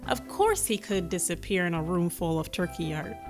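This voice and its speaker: A woman's voice: on the low side, animated, normal speed, music in the background. A woman reading a children's picture book aloud, engaging the listener with questions and asides. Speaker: children's storybook reader. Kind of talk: read-aloud storytelling with asides to young listeners.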